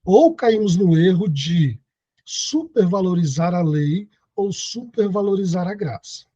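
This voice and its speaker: A man's voice: high-pitched, mellow, breathy edge, no room sound, muffled recording. A man in his thirties teaching an online Bible study lesson, reading scripture aloud and explaining it. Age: 30s. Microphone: video call.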